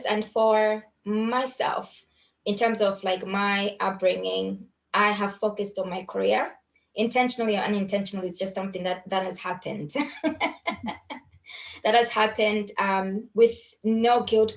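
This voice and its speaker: A woman hosting a casual discussion, hesitant with many fillers, posing questions to her guest. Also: medium pitch. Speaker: host.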